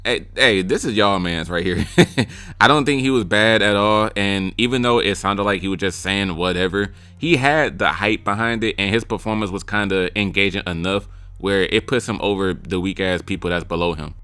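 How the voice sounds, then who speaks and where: A man's voice: fairly low pitch, normal speed, sharp tone, clear, resonant tone, no room sound. A young man giving blunt, joking casual commentary as a YouTube music reviewer, slipping into mimicry of rappers' lines. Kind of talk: casual commentary ranking freestyles.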